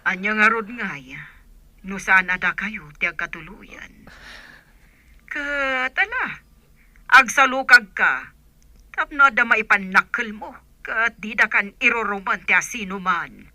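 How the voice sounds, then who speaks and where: A woman's voice: mid-range voice, lively intonation, unhurried, bright, forceful tone, no room sound. An actress playing a practical, encouraging godmother with an Ilocano accent in a Filipino radio drama. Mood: concerned.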